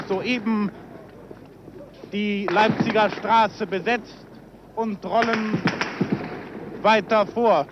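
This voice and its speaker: Slow high-voiced man, noisy old radio report. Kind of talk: 1950s live on-the-spot radio reporting. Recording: noisy dull 1953 outdoor radio recording.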